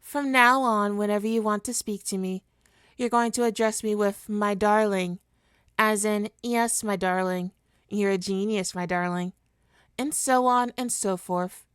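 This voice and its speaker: A woman playing a smug, seductive supervillain in an ASMR roleplay, coaxing and teasing her hero nemesis with deliberate, hypnotic talk. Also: medium pitch, fairly even intonation, a bit bright, smooth, dry recording, clean studio sound, natural voice. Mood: smug, commanding.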